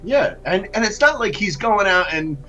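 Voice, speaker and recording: A man co-hosting a casual political talk show, animated, repeating words and saying "you know" a lot. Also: high-pitched, normal speed, dull audio, soft background music. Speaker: podcast co-host.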